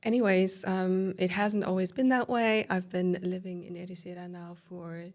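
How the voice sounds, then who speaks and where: A woman in her thirties from southern Germany with a German accent, a podcast guest recounting her life in a warm, filler-heavy way. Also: fairly low pitch, flat delivery, normal speed. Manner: warm, storytelling with many fillers. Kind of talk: casual interview conversation.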